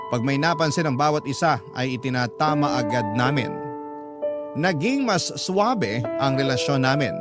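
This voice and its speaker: A man giving scripted first-person narration of a letter for a radio drama. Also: on the high side. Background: music under the voice.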